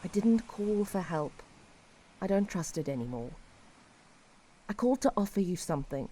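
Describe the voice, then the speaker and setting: A woman playing a despairing, resigned helpline caller in an audio drama, speaking wearily and tenderly in halting short phrases. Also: mid-range voice, expressive, dark tone, close to an everyday voice, poor audio.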